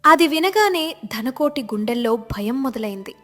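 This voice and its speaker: A woman's voice: high-pitched, animated, moderate pace, a bit bright, faint music behind. A woman narrator reading a scripted moral tale as storytelling narration.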